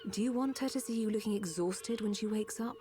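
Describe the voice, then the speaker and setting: An actress playing a kind, capable engineer in a game, speaking in a composed, reassuring way. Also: female voice, medium pitch, brisk, mellow, a little hiss or hum, close to an everyday voice. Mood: caring. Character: kind, calm, capable female engineer of machine people. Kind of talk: video game cutscene acting.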